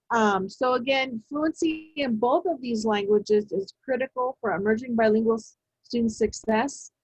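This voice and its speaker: A woman leads a teacher-training webinar in a measured, unhurried way, often pausing on fillers and restarting her sentences. Her voice sits a little high and has a husky edge. The sound is dry and close, with no room echo. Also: darkish tone. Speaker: education presenter. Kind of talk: webinar presentation. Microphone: video call.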